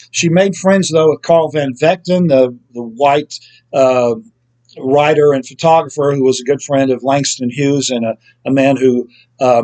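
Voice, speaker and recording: A man's voice: mid-range voice, darkish tone, low-quality recording. A man hosting his own book review show, talking through an author's life off the cuff, with frequent ums and uhs and corrections along the way. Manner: unscripted, many ums and uhs, self-corrections. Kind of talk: casual spoken book review.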